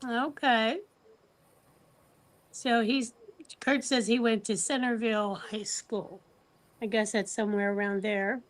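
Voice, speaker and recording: An American woman hosting a casual livestream chat, speaking in a hesitant, halting way. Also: fairly high pitch, fairly even intonation.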